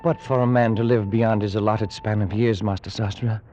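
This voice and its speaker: An actor playing a pious, fearful servant of an ancient priest, earnest and formal in an old-time radio drama. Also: male voice, lowish voice, fairly even intonation, fairly quick, over music, natural voice. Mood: anxious. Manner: earnest, formal, archaic phrasing. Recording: muffled old radio recording.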